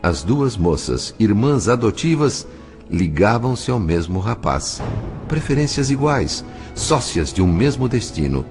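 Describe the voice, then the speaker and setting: A man's voice: fairly low pitch, very animated, measured pace, darkish tone. A man narrating a scripted audio drama in a formal, solemn, literary manner. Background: music.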